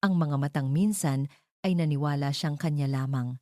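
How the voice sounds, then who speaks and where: Female narrator for romantic audio drama; low, soft-edged mellow voice, dry close sound. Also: moderate pace, no echo. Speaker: storyteller.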